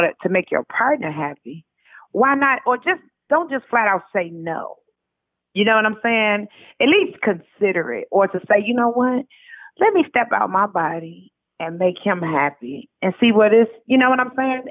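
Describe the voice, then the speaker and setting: A woman's voice: fairly high pitch, no room sound. Woman on a tinny phone line, emphatic. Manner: emphatic, repeats points, acts out quoted remarks. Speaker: interview guest, author. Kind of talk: casual conversational interview answers. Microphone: telephone call.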